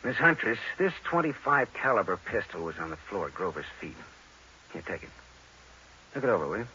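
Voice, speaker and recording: An actor playing a tough, sardonic American private detective in 1940s radio crime drama style, with a needling, clipped delivery. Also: male voice, lowish voice, very animated, brisk, bright, forceful tone, slight background noise. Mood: firm. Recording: muffled vintage radio recording with music.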